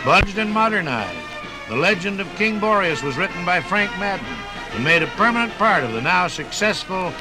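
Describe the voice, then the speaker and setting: An American man doing scripted 1950s radio announcing, with folksy, measured phrasing. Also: high voice, lively intonation, rough recording. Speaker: radio narrator and sponsor announcer. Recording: 1958 radio program from LP record. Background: music.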